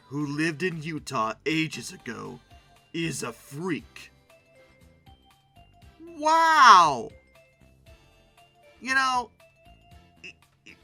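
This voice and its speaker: A video host giving casual, humorous commentary in an expressive, emphatic way with wry, sarcastic asides. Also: medium pitch.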